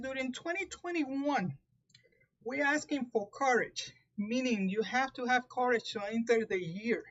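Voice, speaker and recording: A woman's voice: fairly high pitch, muffled recording. A woman with a Puerto Rican accent, a native Spanish speaker, giving a live tarot reading, talking carefully with frequent restarts. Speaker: tarot reader.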